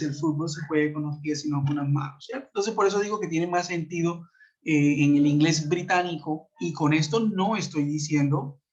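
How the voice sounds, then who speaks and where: A man with a Colombian Spanish accent explains a lesson patiently over a web conference, with a somewhat high voice. He fills his speech with hesitations and checking questions to his students. The sound is dull and lo-fi, as from a computer mic on a call. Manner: explanatory, many fillers and tag questions. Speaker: English teacher. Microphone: computer mic on a video call. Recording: muffled lo-fi web call audio.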